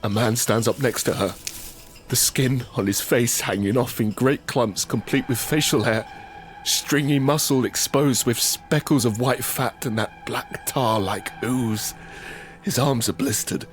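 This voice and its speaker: A tense survivor of a zombie apocalypse , acted by a man, speaks in a mid-range voice. The delivery is suspenseful first-person audio drama in short, deliberate phrases, with music behind it. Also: mid-range voice, natural voice. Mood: tense, hushed. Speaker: narrator and lead character in audio drama. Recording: background music under the voice.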